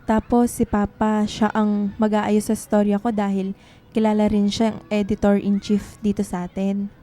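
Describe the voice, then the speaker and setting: A woman's voice: medium pitch, level tone, moderate pace, dark tone, close to an everyday voice. A woman playing a secretive, melancholy young student writer in radio drama dialogue. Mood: pensive, urgent.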